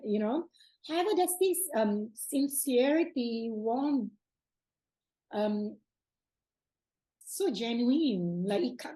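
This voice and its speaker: A young woman host with a fairly high, mellow voice speaks warmly and effusively. She restarts sentences often and gestures as she talks over a webcam video call from her home. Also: poor audio. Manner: effusive, many fillers and restarts, hand gestures. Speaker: podcast host. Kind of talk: casual video call interview. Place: bright home room indoors.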